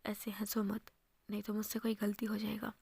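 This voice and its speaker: A woman's voice: mid-range voice, completely flat delivery, normal speed, darkish tone, slightly rough, dry recording. A woman whispering as a bold, teasing young man. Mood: intense.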